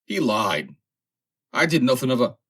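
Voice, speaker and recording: An angry, defiant sailor as acted by a male audiobook reader. He blusters and yells accusations in a raised, slightly husky voice, swinging sharply in pitch. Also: very animated, measured pace, full character voice. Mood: furious. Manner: yelling, blustering. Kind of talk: audiobook character acting.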